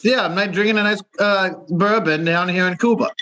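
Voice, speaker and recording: A man speaks in a hammy put-on Italian accent that keeps slipping into his own voice. His tone is bright and forceful and sits a little high. He is improvising a comedy travel report over a video call. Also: a little fast, slight background noise, poor audio. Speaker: comedy correspondent.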